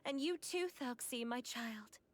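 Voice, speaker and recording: High-voiced, courtly game storyteller. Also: female voice, lively intonation, unhurried, noisy background. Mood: gracious. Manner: formal, polite, earnest. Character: gracious, kindly female storyteller with courtly speech. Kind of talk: video game voice acting.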